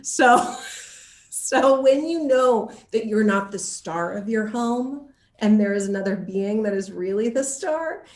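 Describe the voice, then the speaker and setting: A woman's voice: a little high, slow. Echoey, chatty woman in an interview. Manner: animated, chatty, laughs often as talking. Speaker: actor being interviewed. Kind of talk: casual interview chat, telling anecdotes.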